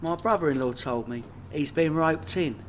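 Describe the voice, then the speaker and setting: An actor with a London accent playing a cocky, hopeless petty crook in a radio comedy, cheeky and full of brag. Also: male voice, a little high, normal speed, slight background noise, close to an everyday voice. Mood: indignant. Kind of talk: British comedy radio play acting.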